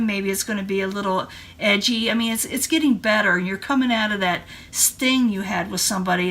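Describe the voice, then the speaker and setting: A woman tarot reader giving an informal, halting reading straight to the listener, her voice starting to go hoarse. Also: medium pitch, a bit bright. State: voice starting to go hoarse, throat clearing.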